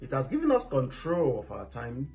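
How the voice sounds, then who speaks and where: A man giving a calm, steady testimonial on behalf of his family. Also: medium pitch, moderate pace, faint music behind. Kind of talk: personal testimonial. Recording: dull lo-fi recording.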